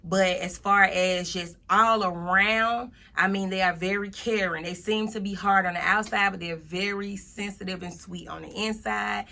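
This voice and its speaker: Gravelly-edged motivational woman, emphatic. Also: mid-range voice, fairly even intonation, moderate pace, hardly any pauses, bright, forceful tone. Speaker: motivational speaker being interviewed. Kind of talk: casual conversational interview.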